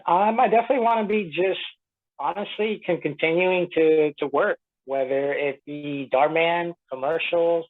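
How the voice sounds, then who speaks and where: A man's voice: fairly high pitch, moderate pace. A man from Florida, an interview guest, giving casual conversational answers over a narrow phone-like connection. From Tampa Bay, Florida, United States; born in Puerto Rico. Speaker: actor being interviewed. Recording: tinny narrow-band phone line.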